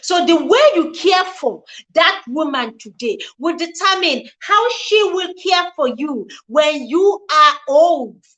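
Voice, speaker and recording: A woman in her forties hosts a live relationship talk in a bright, ringing voice. She speaks earnestly and preaches in repeated phrases. She stops to greet viewers by name. Her voice sits high. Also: animated, takes it slowly, rough recording. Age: 40s. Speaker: relationship show host.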